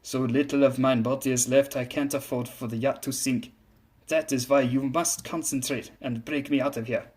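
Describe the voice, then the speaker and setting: A man's voice: medium pitch, steady tone, moderate pace, a little mellow, close to an everyday voice. A man voicing an arrogant, impatient female spirit of a German warship in a story, with a German accent. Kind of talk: dramatised story reading.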